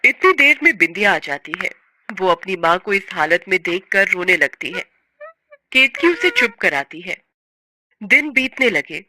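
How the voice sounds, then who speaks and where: A woman narrating a scripted story as an expressive, sorrowful storyteller. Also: medium pitch, a little slow, noisy background.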